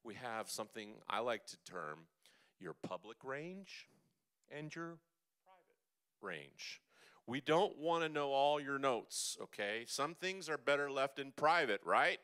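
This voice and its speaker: A man's voice: medium pitch, animated, unhurried, pushed and bright, smooth. A man teaching a vocal seminar, chatty and jokey, with playful asides to the room. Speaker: vocal coach.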